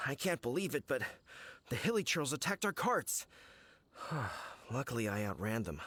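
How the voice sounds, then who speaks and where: A man's voice: mid-range voice, very animated, takes it slowly, long pauses, bright, forceful tone, heavily acted. A man voicing a flustered, grumbling merchant in an anime video game, fretful and given to sighing. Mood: shaken, relieved. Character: flustered grumbling male fruit cart merchant.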